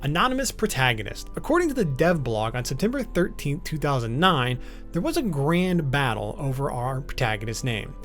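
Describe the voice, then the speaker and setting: A man's voice: mid-range voice, big pitch swings, normal speed, a bit bright, soft background music. A man narrating an explainer video in an informal, semi-scripted way.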